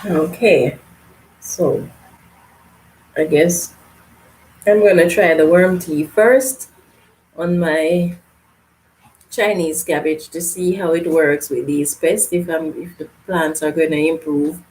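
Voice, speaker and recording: A woman's voice: low voice, steady tone, slow, long pauses, darkish tone, a little hiss or hum, low-quality recording. A woman hosting a live gardening Q&A from Canada, reading out viewer comments and answering in a chatty way that breaks into laughs. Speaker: gardening channel host. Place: home, live stream.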